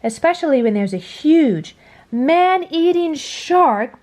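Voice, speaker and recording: A woman reading a children's story aloud in a dramatic storytelling style, dropping to a hush at phrase endings. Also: sits high, lively intonation, takes it slowly. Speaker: storybook reader. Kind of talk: expressive children's read-aloud narration.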